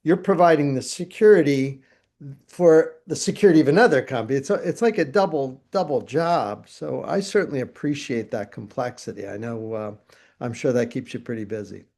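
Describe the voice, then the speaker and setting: A man's voice: mid-range voice, fairly quick, vocal fry, darkish tone. A man hosting an interview, asking long, rambling questions in a friendly conversational way. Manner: chatty, rambling questions with restarts. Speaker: interviewer, host.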